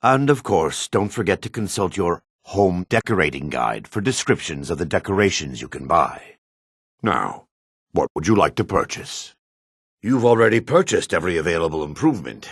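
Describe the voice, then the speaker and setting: An actor playing a male dark elf townsman in a fantasy video game, with a raspy voice, gruff and theatrical. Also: low-pitched, very animated, normal speed, no room sound, studio quality, heavily acted. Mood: formal, businesslike. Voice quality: raspy, husky.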